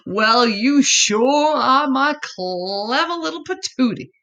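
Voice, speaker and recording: A woman's voice: high voice, expressive, slow pace, pushed and bright, highs cut off, voice pushed up, heavily acted. A woman voicing a doting, fretful possum mother, fussing and affectionately scolding in a children's storybook. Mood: fond.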